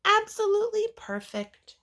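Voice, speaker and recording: A woman's voice: extremely high pitch, sing-song, normal speed, very noisy, voice pushed up. A woman voicing a doting, reassuring mother from a children's picture book, gushing in a sing-song way. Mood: cheerful, reassuring.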